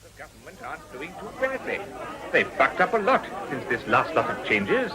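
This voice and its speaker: A genteel, opinionated society gentleman in a period radio drama, heard among a chattering crowd. His voice is fairly high and lively, with a clubby, gossipy manner. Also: moderate pace. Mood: chatty, approving.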